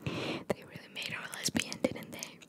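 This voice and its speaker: Whispering woman, breathy ASMR. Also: moderate pace. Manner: informal, chatty, sweary. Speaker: ASMR creator. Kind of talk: whispered ASMR, reading trivia with casual asides.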